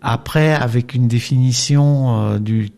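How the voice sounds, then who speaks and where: A man's voice: mid-range voice, fairly even intonation, unhurried, gravelly, darkish tone. Older man with a faint Czech accent. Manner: hesitant, many fillers and restarts. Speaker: documentary filmmaker, guest. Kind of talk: radio interview.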